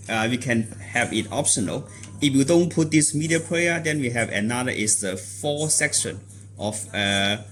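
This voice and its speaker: A man giving an unscripted product demonstration, explaining in a halting, repetitive way with non-native phrasing. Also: medium pitch, sharp tone.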